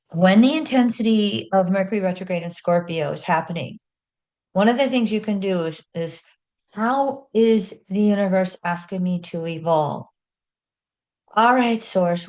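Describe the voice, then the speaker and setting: A middle-aged woman teaching astrology in an earnest, folksy way with self-deprecating asides. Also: mid-range voice. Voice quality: loud speaking voice. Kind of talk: teaching astrology talk with slides.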